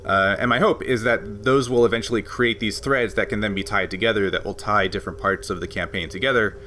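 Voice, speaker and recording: A man's voice: fairly low pitch, fairly even intonation, brisk, sharp tone, a little hiss or hum. A man hosting a tabletop game podcast, explaining a rules system at length in a casual way, with frequent laughs. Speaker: game master and podcast host.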